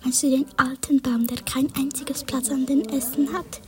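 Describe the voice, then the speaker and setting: A woman's voice: sits high, level tone, moderate pace, warm tone, distant mic, lightly acted. Woman whispering as a clever little gnome. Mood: curious. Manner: whispered, questioning. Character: clever small male blue gnome with glasses.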